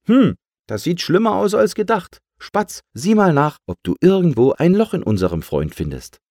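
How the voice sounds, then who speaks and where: A man's voice: high voice, big pitch swings, moderate pace, a little mellow, no room sound, studio quality, voice pushed up. A man voicing a kindly, practical air pump that gives instructions with wry wit in a children's story. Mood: concerned.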